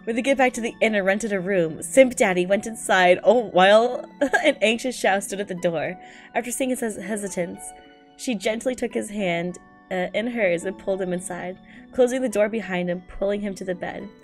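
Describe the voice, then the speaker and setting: A woman's voice: medium pitch, normal speed, faint music behind. A woman streamer in a giggly, slangy, incredulous style, reading aloud and reacting casually.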